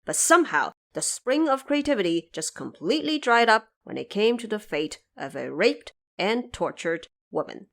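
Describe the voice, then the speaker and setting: A woman's voice: medium pitch, sing-song, normal speed, vocal fry, hardly any pauses. A woman hosting a podcast in scripted explanatory narration, articulate and pointedly emphatic. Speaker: podcast host.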